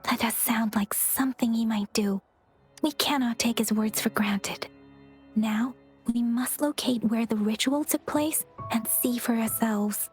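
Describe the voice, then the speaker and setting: An actress voicing a calm, soft-spoken young woman ally in a game cutscene, earnest and composed. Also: on the high side, a little slow, lightly acted. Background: game music.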